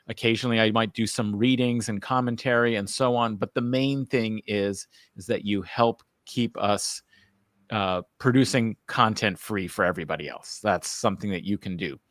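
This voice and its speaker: A man's voice: mid-range voice, a bit bright, no room sound, clean studio sound. A man hosting a live-streamed talk show, enthusiastic and a little rambling, with frequent fillers. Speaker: host.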